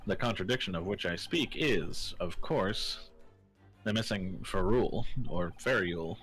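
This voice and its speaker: A man's voice: low voice, lively intonation, unhurried, a bit bright, faint music behind. A man voicing a pompous, theatrical detective with grandiose, formal diction in an amateur video game fan dub. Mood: assured deduction. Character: pompous, theatrical great detective, deducing a crime with relish.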